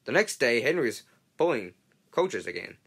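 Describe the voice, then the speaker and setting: Casting: male storybook reader, halting delivery, very lively pitch, bright forceful tone. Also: slightly rough. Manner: halting, stumbling reading. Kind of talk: children's storybook read-along narration.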